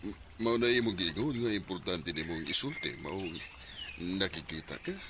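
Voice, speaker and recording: A man's voice: low-pitched, expressive, normal speed. An actor playing an earnest, conflicted married father in a Filipino radio drama, with a Bisaya accent. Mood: startled. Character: conflicted married father, earnest, torn between love and family. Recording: noisy radio drama with ambient effects.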